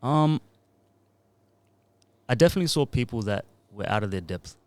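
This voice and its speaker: A man's voice: mid-range voice, lively intonation, moderate pace, a little mellow, dry recording. A man in his thirties, a music producer and DJ, talking in a laid-back, rambling way into a podcast mic. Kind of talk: casual podcast interview.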